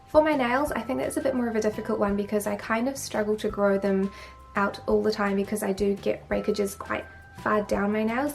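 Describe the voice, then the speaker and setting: A woman's voice: medium pitch, vocal fry, a little mellow. A young woman from New Zealand with a New Zealand accent, chatty and matter-of-fact, answering viewer questions to camera as a beauty vlogger. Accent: New Zealand, a few English-sounding words. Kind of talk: casual Q&A to camera.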